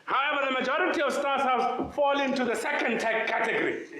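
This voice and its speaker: A man giving a loud, emphatic conference talk as a social investment practitioner. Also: extremely high pitch, a little fast, echoey room. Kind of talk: conference keynote speech. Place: conference venue.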